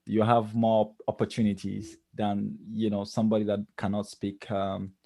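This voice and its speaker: A man's voice: on the low side, monotone, normal speed, vocal fry, dark tone, no echo, studio quality. A man with a Nigerian accent answering interview questions as a podcast guest, explaining things conversationally with many "you knows". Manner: explanatory, frequent "you know".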